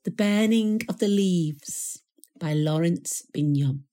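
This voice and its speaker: Very slow, soft-toned woman reciting poetry. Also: on the low side, faint music behind. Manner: measured, solemn delivery with deliberate pauses. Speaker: poetry reader.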